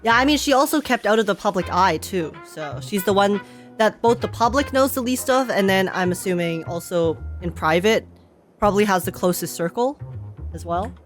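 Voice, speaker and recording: A woman's voice: mid-range voice, normal speed. A woman giving casual, chatty, rambling reaction commentary as she streams a game. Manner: chatty, rambling, animated asides. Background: faint game music.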